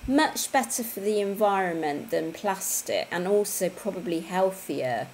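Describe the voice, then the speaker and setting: A woman's voice: mid-range voice, animated, unhurried, slight background noise, rough recording. A woman, hosting a casual fitness livestream from home and chatting to viewers. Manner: chatty, conversational, with tag questions. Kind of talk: casual livestream chat reading viewer comments. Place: home room, indoors.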